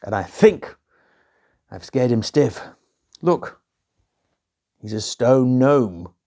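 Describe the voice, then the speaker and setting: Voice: mid-range voice, expressive, drawn-out words, dark tone. A storyteller voicing a young fairy-tale prince who explains himself in a plain, hesitant way. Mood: explaining.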